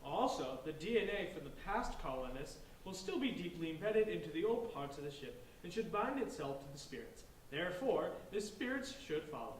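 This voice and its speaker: A man's voice: a little high, very animated, moderate pace, few pauses. A man playing an earnest, brainy young adventurer in a staged play reading, explaining things wordily. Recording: echoey, distant stage recording, faint noise.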